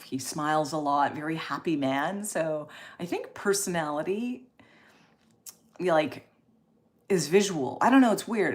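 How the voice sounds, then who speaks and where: Woman, mid-forties, lowish voice, encouraging chatty live-stream host, expressive reactions. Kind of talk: casual live chat, reading and answering viewer comments.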